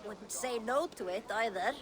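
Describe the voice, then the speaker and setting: A plain-spoken Viking woman voiced by an actress in a video game. She has a high, wry voice with clear noise in the background. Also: moderate pace, close to an everyday voice.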